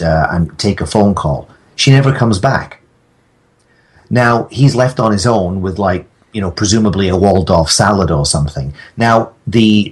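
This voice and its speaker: A man chatting casually as a podcast guest, telling stories in a halting, rambling way. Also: low-pitched, breathy edge. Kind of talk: casual anecdotal chat.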